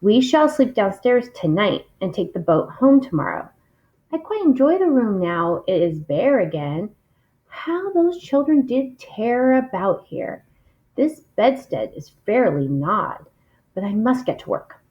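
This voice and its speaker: A woman's voice: a little high, big pitch swings, unhurried, lo-fi sound. A woman reading aloud expressively, in the style of a literary read-along.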